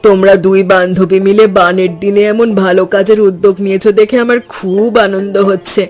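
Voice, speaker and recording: A man voicing a kindly, encouraging village auntie with a measured manner in a cartoon story. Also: sits high, flat delivery, darkish tone, soft background music, natural voice. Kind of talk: scripted animated story dialogue.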